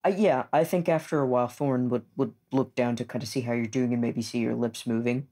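Informal male roleplayer, mid-pitched. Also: steady tone, fast, warm tone. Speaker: tabletop game player. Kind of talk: casual roleplay narration.

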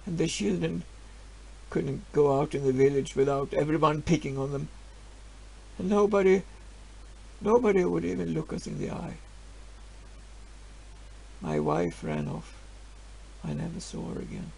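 An actor playing a grieving, stubborn peasant farmer, telling his story in a halting voice that swings from hushed to loud. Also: on the high side, gravelly, slightly rough, full character voice, low-quality recording. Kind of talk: dramatic storytelling monologue.

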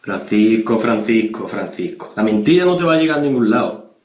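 A man's voice: on the low side, expressive, brisk, pitched below the performer's own voice, lightly acted. Casting: young man as stern, preachy Spanish policeman dad on a prank call, insistent, accusing, echoey bedroom. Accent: Spain. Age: young adult. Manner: insistent, accusatory, repeating the listener's name. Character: stern, preachy, threatening policeman father of a teenage girl. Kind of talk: prank phone call improvisation. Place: small bedroom, indoors. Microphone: webcam or camera at desk distance.

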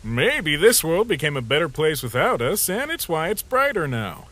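A man voicing a brash, sarcastic younger skeleton brother with a husky voice in a comic dub, cocky and grumbling. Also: fairly high pitch, sing-song, normal speed, a bit bright. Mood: wistful.

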